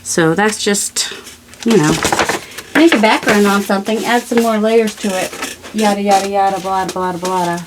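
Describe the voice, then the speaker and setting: A woman's voice: medium pitch, normal speed, heavy background noise. A woman hosting a craft video, chatting casually and rambling to herself with playful self-scolding while she works, over clicks and crinkling paper.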